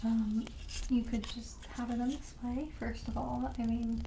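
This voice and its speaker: A woman playing a friendly, darkly quirky witch shopkeeper in an ASMR roleplay, speaking softly and breathily with gentle, coaxing sales patter that often drops to a whisper. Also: fairly high pitch, flat delivery, a little slow, creaky, roomy sound, noisy background. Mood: helpful, gentle.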